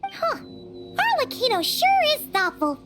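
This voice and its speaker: Squeaky, very high-pitched little fairy. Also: female voice, wildly swooping pitch, takes it slowly, exaggerated voice. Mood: eager, insistent. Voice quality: squeaky, childlike. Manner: perky, refers to self in third person. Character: small childlike cheerful chatty fairy companion, female. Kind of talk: video game character dialogue. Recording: music behind the voice.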